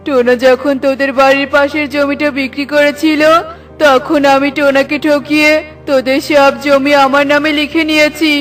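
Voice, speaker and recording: A woman's voice: high-pitched, flat delivery, normal speed, faint music behind, lightly acted. A woman voicing a scheming male village bully who cheated a widow out of her land, gloating and cackling.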